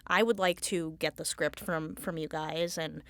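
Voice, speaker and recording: A woman speaking as a guest in a casual interview, informal and halting as she thinks aloud. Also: sits low, steady tone, clean tone, no echo. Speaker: Foley artist, interview guest.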